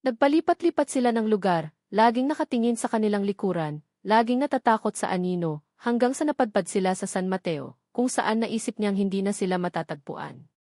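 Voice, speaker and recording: A woman's voice: medium pitch, lively intonation, brisk, darkish tone, dry recording, studio quality. A woman reading a scripted love story as a dramatic narrator.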